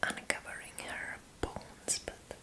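A young woman whispers her way through a gentle ASMR roleplay. Her delivery is extremely slow and soothing, with softly repeated words, recorded close to the mic. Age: young adult. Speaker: ASMR host. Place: small indoor room.

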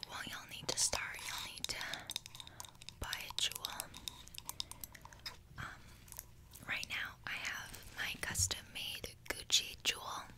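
A young adult woman whispering a casual ASMR roleplay close to the microphone, explaining things hesitantly with pauses. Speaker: ASMR creator. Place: small bedroom, indoors. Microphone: close desk microphone.

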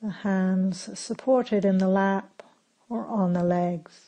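A woman meditation teacher leading a guided meditation in a calm, gentle, unhurried manner with long pauses between phrases. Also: medium pitch.

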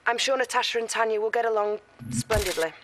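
A woman's voice: fairly high pitch, fast talker, a bit bright, lightly acted. A young woman playing a poised military lieutenant, giving an earnest mission briefing in a noisy game mix. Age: young adult.

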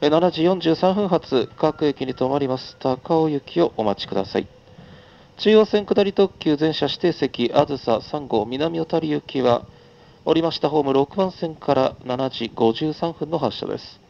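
A man's voice: fairly high pitch, lively intonation, moderate pace, vocal fry, darkish tone, highs cut off. A man making a live train conductor's announcement in a formal, practised, phrase-by-phrase delivery.

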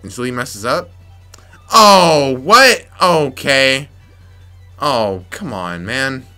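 Young male let's-player, raspy from yelling, bright voice, faint game music underneath. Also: medium pitch, lively intonation, very slow. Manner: frustrated, muttering to himself, sudden yelps. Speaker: gameplay commentator. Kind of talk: let's play commentary.

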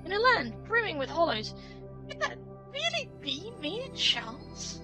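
A man reading game dialogue in a high, sing-song falsetto as an earnest, cheerful female knight who worships the sun, speaking grandly and confidently. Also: wildly swooping pitch, measured pace, over music, exaggerated voice, poor audio. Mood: cheery, grand. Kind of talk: reading a game's dialogue aloud. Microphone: headset.

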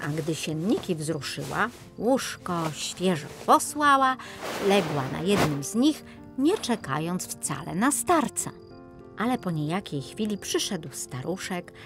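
Casting: female fairy-tale audiobook narrator, unhurried, slightly low, creaky voice, faint music. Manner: measured, storytelling.

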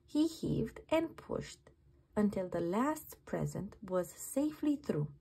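A woman reads a children's bedtime story with very lively, swooping intonation. She takes her time over the words and has a slightly breathy, husky edge. The delivery is dramatic and expressive. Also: mid-range voice, slow. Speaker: children's book reader.

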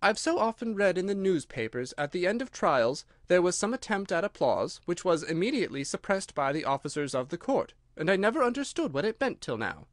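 A meek, curious young storybook girl, voiced by a male reader. The voice is raised in pitch and softly spoken, with a lively rise and fall. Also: a little fast, hardly any pauses, dry recording, clean studio sound. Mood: musing. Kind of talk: storybook character reading.

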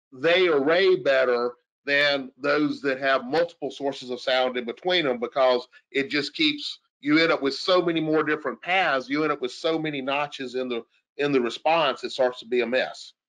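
A man giving an informal technical explanation as an expert, thinking aloud with halting, repeated phrases. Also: fairly high pitch, fairly bright tone, poor audio. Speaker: audio engineering expert answering questions.

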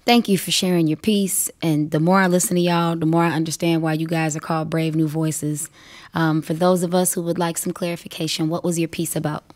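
A woman's voice: low voice, level tone, fairly quick, a little mellow. A young woman hosting a conversational interview, speaking into a studio microphone. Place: wood-panelled recording studio, indoors. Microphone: studio microphone on stand.

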